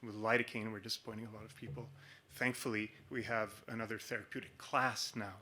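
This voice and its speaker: Booming-voiced man with a bright tone. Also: flat delivery, a little fast, a little hiss or hum. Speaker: audience member, physician. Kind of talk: conference floor comment.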